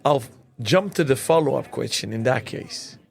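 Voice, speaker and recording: A man with a noticeably creaky, gravelly voice hosts a conversational interview. He speaks at a middle pitch and lays out his points in a deliberate way. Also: lively intonation. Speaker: interview show host.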